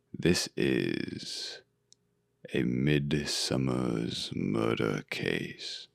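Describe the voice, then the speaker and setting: A male presenter with a deep voice, recorded very dry. He takes his time dramatically over each line, in a tongue-in-cheek, deadpan announcing style. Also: creaky, no room sound.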